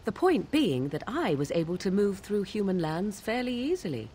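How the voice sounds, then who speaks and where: A woman's voice: mid-range voice, sing-song, moderate pace, dark tone, a little hiss or hum, close to an everyday voice. A woman playing a proud, sardonic young witch in a video game, speaking in formal, archaic, disdainful phrases. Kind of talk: video game dialogue acting.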